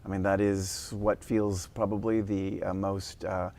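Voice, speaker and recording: Man, professor, lowish voice, reflective, hesitant, studio interview. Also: flat delivery, a little mellow. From Japan. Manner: thoughtful, measured, frequent hesitations. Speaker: guest, university professor. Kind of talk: interview conversation. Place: television studio.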